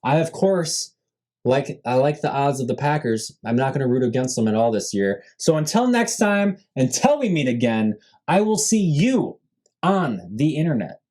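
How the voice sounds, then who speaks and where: A man's voice: medium pitch, sing-song, normal speed, a little mellow. A man hosting a solo sports podcast, running through a team roster in a casual, upbeat way and saying he is losing his voice. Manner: upbeat, lists names with rising emphasis, frequent fillers. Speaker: podcast host.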